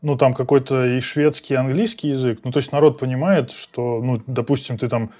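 A man's voice: medium pitch, fairly even intonation, fairly quick, warm tone. A man in his thirties hosting a casual video call chat from a small room at home, laid-back and slangy, laughing easily. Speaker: podcast host.

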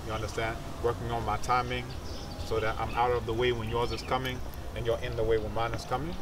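Man, thirties, didactic teacher, explanatory interview talk, mid-pitched, a bit distant from the mic, clear outdoor background noise. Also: monotone, fast talker. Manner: didactic, checks understanding with short tag questions. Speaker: capoeira teacher and researcher. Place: outdoors among leafy trees.

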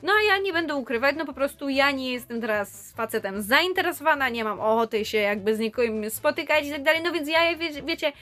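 A young woman vlogging to camera in a small room, telling a story in an animated, chatty way. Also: high-pitched, brisk, hardly any pauses. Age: young adult. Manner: animated, chatty, gesturing with her hands.

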